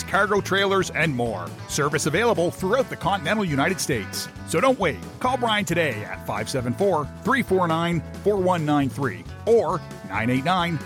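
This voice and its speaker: A man reading a radio advertisement in an upbeat announcer style. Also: on the high side. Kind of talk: read radio ad.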